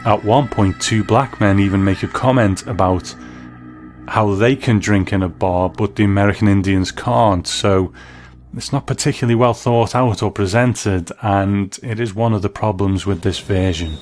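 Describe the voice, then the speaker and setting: Man, lowish voice, scripted review narration, music underneath. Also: moderate pace, darkish tone. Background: music bed.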